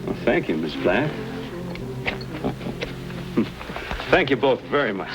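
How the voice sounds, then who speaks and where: An old radio western recording with music behind it. an earnest, polite frontier man who speaks in a folksy, slightly hesitant way. His mid-pitched voice moves up and down with feeling. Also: big pitch swings, slow pace, low-quality recording. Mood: grateful, earnest. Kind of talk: old-time western radio drama acting.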